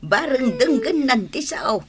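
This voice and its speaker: A woman playing an exasperated old village grandmother with a husky voice, scolding in an Ilocano radio comedy drama. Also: high voice, sing-song, unhurried, exaggerated voice. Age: older.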